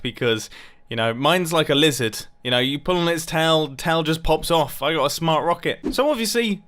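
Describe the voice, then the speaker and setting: A young man giving casual, excitable commentary over a video game, laughing often and joking about himself. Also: on the high side. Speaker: gaming YouTuber.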